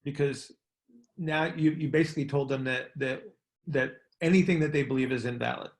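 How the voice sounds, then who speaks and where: A man's voice: medium pitch, steady tone, fairly quick. A man hosting a coaches' call, explaining things in a casual discussion and often checking in with "right?". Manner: often ends points with "right?".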